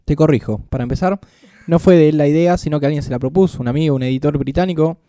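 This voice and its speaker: A man with an Argentine Spanish accent, co-hosting a casual podcast and telling anecdotes in a lively, joking way. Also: a little high, fast, no echo, clean studio sound. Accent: Rioplatense Spanish. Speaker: podcast co-host.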